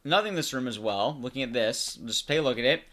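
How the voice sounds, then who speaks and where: A man commentates on a game as he plays, talking casually and explaining to his audience. His voice is bright and very lively in pitch, over faint background noise with some clicks. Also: quick pace. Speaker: streamer and commentator. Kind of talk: casual live gameplay commentary.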